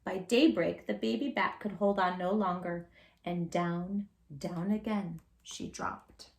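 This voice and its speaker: A woman host reading a children's story aloud in an animated, expressive way, with dramatic pauses and drawn-out emphasis. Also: on the low side.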